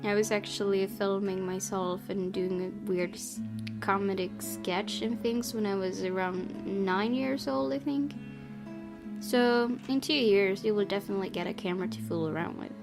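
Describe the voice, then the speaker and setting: A woman with a slightly low voice narrates a visual novel for a let's play. She moves between reading aloud and chatty, expressive commentary, with game music clearly behind her. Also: moderate pace. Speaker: game streamer.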